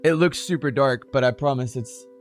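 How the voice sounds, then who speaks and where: A young man with an ordinary mid-range pitch hosts an easygoing online talk show. His speech is loose and profane, full of fillers and restarts. Faint music plays underneath. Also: normal speed. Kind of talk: casual unscripted chat. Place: home room, self-described cave.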